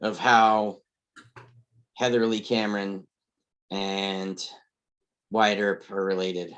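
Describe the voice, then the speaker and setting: A man's voice: fairly low pitch, level tone, slow pace, a bit bright, clear, resonant tone, lo-fi sound. A man talking casually and conversationally in a group discussion. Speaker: table read participant.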